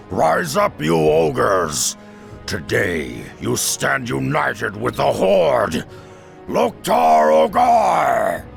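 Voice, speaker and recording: A male actor voicing a hulking, proud and loyal half-orc champion in a fantasy video game, with a gruff growl and solemn delivery. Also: full character voice. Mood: rousing battle cry. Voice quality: deep, gravelly growl. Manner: slow, solemn, formal declarations.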